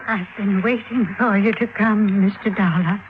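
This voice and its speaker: An actress playing a frail, fearful little old widow, speaking gravely and haltingly in old-time radio drama style. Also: female voice, mid-range voice, steady tone, music in the background, natural voice. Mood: bitter, weary. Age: older. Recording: tinny, noisy old radio recording.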